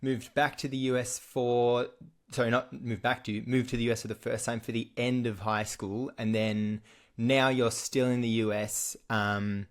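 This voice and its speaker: A young Australian man hosting a casual sports interview, talking in a relaxed, chatty way with lots of fillers and restarts. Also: mid-range voice, moderate pace. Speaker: podcast host.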